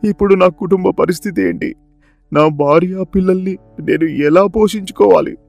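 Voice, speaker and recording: An actor playing a poor, honest, wronged village farmer in a cartoon moral story, speaking in an emotional, exclamatory way. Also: male voice, high-pitched, animated, normal speed, gravelly, darkish tone, dry recording, highs cut off, faint music behind. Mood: anguished.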